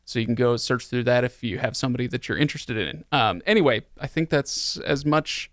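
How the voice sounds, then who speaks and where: A man's voice: mid-range voice, fairly quick, fairly bright tone, dry recording, clean studio sound. A man hosting a podcast in casual chat, with jokey, tongue-in-cheek banter. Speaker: podcast host.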